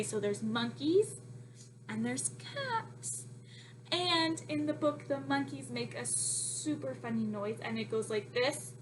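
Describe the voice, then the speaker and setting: A woman teacher reading aloud to young children, expressive and exaggerating her emphasis. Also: high-pitched, background noise.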